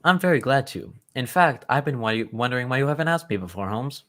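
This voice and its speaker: A man playing a polite, earnest, loyal gentleman friend of a detective in an old-time radio drama, speaking with formal courtesy. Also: medium pitch, expressive, fairly quick, lightly acted.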